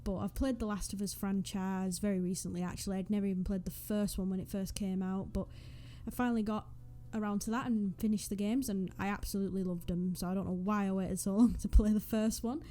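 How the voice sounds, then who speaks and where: Mellow-voiced Northwest English young woman. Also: medium pitch, steady tone, brisk, few pauses. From northwest England. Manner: rambling, self-deprecating, giggly asides. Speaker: Sims YouTuber. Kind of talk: casual voice-over chat over a speed build. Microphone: USB desk microphone.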